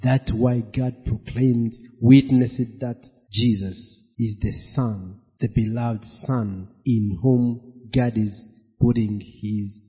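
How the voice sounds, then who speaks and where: A pastor preaching a sermon, slow and emphatic, repeating his key phrases. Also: mid-range voice, fairly even intonation, breathy edge. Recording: dull, echoey hall.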